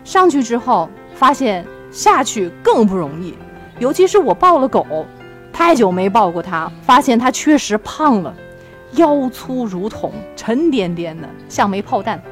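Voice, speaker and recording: A woman with a fairly high voice hosts a casual book podcast. She moves between relaxed talk and reading aloud, with faint music behind her. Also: a little slow. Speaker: podcast host.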